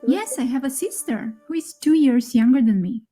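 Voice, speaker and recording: A young woman speaking her part in a scripted conversation for English learners, clear and friendly. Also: high-pitched, animated, normal speed, dark tone, soft background music. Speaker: speaker in a recorded dialogue.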